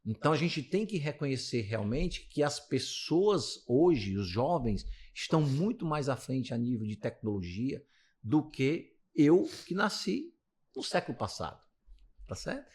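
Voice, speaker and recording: A man's voice: medium pitch, very animated, measured pace, darkish tone, slightly rough. A man in his late fifties with a Brazilian accent, a physiotherapist being interviewed, talking casually and rambling with many restarts. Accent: Brazilian Portuguese. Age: 50s. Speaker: physiotherapist guest.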